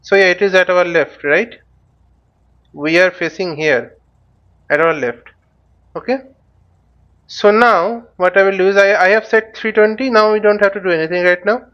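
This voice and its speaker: A man giving an unscripted step-by-step tutorial as an instructor, halting and thinking aloud, often posing questions and answering them himself. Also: high voice, fairly bright tone, muffled recording. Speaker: flight simulator instructor. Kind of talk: unscripted tutorial with live demonstration. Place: voice-over recorded while using a flight simulator.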